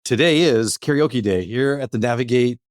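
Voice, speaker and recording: An upbeat man hosting a workplace podcast in a relaxed, chatty way, with plenty of fillers. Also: mid-range voice, moderate pace, no echo, clean studio sound. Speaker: podcast host. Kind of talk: casual podcast chat.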